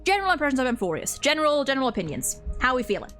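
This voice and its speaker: A woman with a fairly high voice hosts a loose, unscripted chat about video games. She rambles and thinks aloud, breaking her opinions into halting phrases. Faint music plays underneath. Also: very animated, a bit bright. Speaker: host of a video game ranking video.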